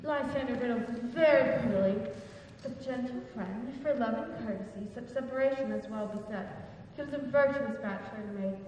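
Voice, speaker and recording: A woman plays a gentle, devoted young maiden, speaking verse modestly in a high voice. The recording is distant and echoey, with a soft hum of ambience in the background. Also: normal speed, highs cut off, natural voice. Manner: modest and gentle, rising to frightened cries. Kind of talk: Shakespearean stage acting. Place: large hall or theatre stage. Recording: distant, echoey stage recording in a large hall.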